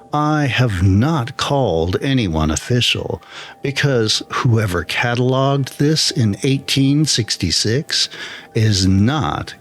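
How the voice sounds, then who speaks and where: Slow-talking man narrating horror. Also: mid-range voice. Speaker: storyteller, channel narrator. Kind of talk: scripted first-person horror story narration.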